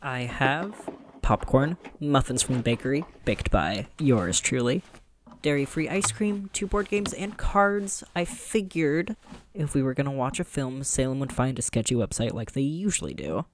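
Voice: medium pitch, lively intonation, normal speed, slight background noise, lightly acted. An actor playing an upbeat, goofy young man, a generous friend who chats playfully in a casual audio drama. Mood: cheerful, eager. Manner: playful, chatty, mock-indignant.

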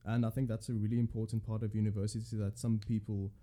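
A man's voice: lowish voice, level tone, fast talker, dark tone. A young man with a South African accent, a university student chatting casually and thoughtfully. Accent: South African English. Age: young adult. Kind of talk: casual chat.

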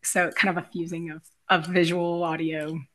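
A woman with a raspy voice chatting casually as an interview guest, in an effusive, giggly, rambling way. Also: lowish voice, level tone, normal speed, a bit bright. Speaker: guest, realtor and musician.

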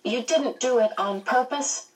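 A woman's voice: fairly high pitch, measured pace, far from the mic, pitched above the performer's own voice, natural voice, poor audio. A woman voicing a petulant, blunt little boy in a storybook, indignant and demanding answers.

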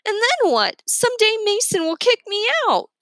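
Woman acting a young woman, squeaky high pitch, whiny, pouty, pleading, tearful storybook character. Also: big pitch swings, moderate pace, fairly bright tone, no echo, full character voice. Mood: upset, pouting. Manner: pleading, stuttering.

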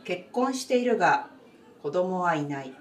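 A woman's voice: on the low side, big pitch swings, moderate pace. A woman reading scripted narration for a radio drama. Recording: distant mic with faint background noise.

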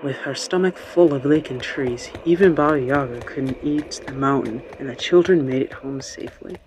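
A man's voice: medium pitch, moderate pace, a little mellow, slight background noise, close to an everyday voice, poor audio. A man narrating a children's radio play as a scripted storybook tale.

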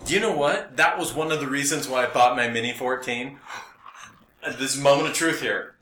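A man's voice: medium pitch, fairly quick, fairly bright tone, a little hiss or hum. An American man chatting casually as a podcast co-host, telling stories in a jokey way and acting out bits of conversation. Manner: jokey, acts out lines from conversations. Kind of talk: casual chat, anecdotes and joking. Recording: roomy, echoey sound.

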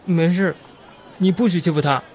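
A man voicing a brave, lonely young orphan street child, earnest and halting, in an audio drama. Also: high-pitched, darkish tone, a little hiss or hum, natural voice. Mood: defiant. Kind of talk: Chinese audio drama acting.

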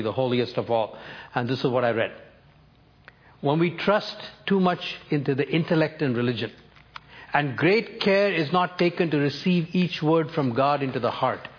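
A man's voice: on the high side, highs cut off. Older Indian-born man preaching. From North India. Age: 70s. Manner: personal storytelling, measured with emphatic lifts. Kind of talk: sermon.

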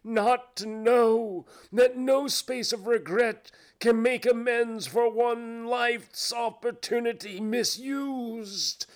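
Storybook phantom: anguished, remorseful, declamatory, pitched high with long drawn-out cries. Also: male voice, full character voice. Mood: anguished wailing. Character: tormented male ghost, wailing, preachy, remorseful old business partner. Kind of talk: dramatised reading of a classic ghost story.

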